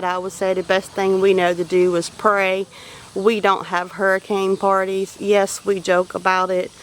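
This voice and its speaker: A woman's voice: medium pitch, fairly bright tone, a little hiss or hum. Folksy Southern woman on a farm. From Louisiana. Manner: down-to-earth, folksy, practical. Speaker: homesteader vlogger. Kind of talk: casual walking vlog. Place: outdoors on a farm.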